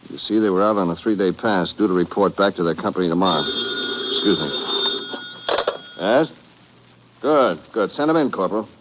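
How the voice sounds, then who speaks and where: A man's voice: low voice, expressive, normal speed, pauses often. An American man playing an earnest army intelligence major in a 1950s radio drama, formal and military. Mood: earnest, official. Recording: slightly noisy old radio recording.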